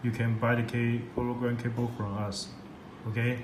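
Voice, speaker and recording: A man's voice: mid-range voice, flat delivery. Man giving a tutorial in a noisy echoey room. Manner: halting, repetitive non-native English. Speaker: product demonstrator for a radio seller. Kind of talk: step-by-step software tutorial. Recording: echoey room, loud background noise.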